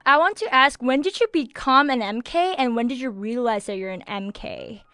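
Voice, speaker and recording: A young woman hosts a casual video podcast, asking her guests question after question. Her voice sits a little high and she talks in a chatty way, with lots of "like". Soft music plays faintly behind her. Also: moderate pace, clean tone, no echo, studio quality. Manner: chatty, asks questions with filler "like". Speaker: host.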